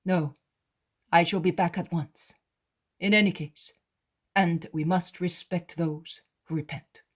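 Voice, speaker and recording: A woman voicing a calm, kind male teacher who speaks gently and steadily. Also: a little high. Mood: gentle. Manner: gentle, measured.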